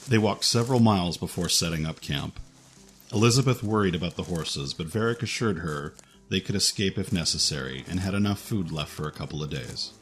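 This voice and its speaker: A man's voice: low voice, a little fast, faint music behind. A man reading scripted narration for a fantasy adventure story.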